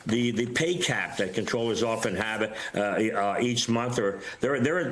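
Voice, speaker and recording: Older man, news guest, medium pitch, halting plain-spoken commentary. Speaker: former air traffic controller, news guest. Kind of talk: interview commentary.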